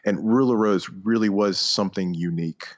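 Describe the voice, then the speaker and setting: Deep-voiced man, halting casual podcaster. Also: muffled recording. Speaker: podcast co-host. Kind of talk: casual chat about video games.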